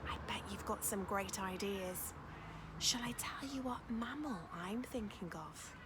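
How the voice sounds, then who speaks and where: A woman teacher reading a storybook to young children in an expressive, encouraging way, with dramatic emphasis and chatty questions to her listeners. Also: medium pitch, unhurried, heavy background noise.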